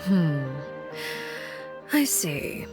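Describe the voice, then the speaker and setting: Game role: cool, calculating female leader, lowish pitch, formal measured delivery, music faintly underneath. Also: very animated, exaggerated voice. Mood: cool, composed. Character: composed, calculating, commanding woman who leads an organisation. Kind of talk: video game voice acting.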